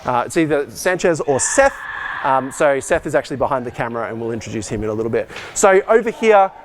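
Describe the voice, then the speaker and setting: Male tour guide, medium pitch, school staff type; casual, practical, off-the-cuff technical explainer. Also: lively intonation, fast, background noise. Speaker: school arts and IT department head giving a behind-the-scenes tour. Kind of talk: informal walk-through technical tour, explaining gear. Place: on the move through a school tech room and performance venue.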